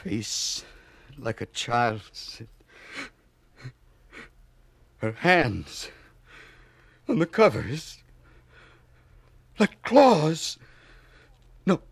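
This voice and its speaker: An actor in an old-time radio drama, playing a tormented, guilt-ridden husband in an overwrought, halting manner. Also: male voice, fairly high pitch, big pitch swings, vocal fry, husky, exaggerated voice. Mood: hushed, haunted. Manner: overwrought, halting, repeating himself.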